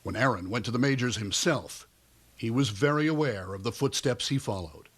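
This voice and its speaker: A man's voice: medium pitch, moderate pace. A man narrating a scripted television news report.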